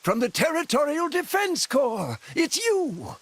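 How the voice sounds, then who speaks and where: A woman playing an excitable, friendly villager in a video game, with a folksy, exclamatory way of talking. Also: high-pitched, unhurried. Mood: surprised recognition. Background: loud music.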